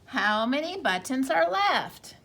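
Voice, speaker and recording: A woman teacher reads a children's picture book aloud in a high, lively voice that swoops up and down. She takes her time, with bright, exclamatory storytime energy over a faint hiss in the background. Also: takes it slowly. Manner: animated, exclamatory storytime delivery.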